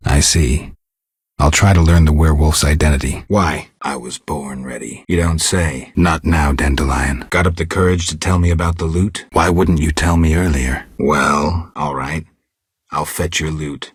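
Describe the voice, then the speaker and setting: Gruff stoic monster hunter for a fantasy game, super low raspy voice, deadpan and terse. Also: male voice, no room sound, studio quality, full character voice. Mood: businesslike, reserved. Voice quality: gravelly, husky low voice. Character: stoic gruff adult male monster hunter, wry and world-weary. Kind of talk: video game character dialogue.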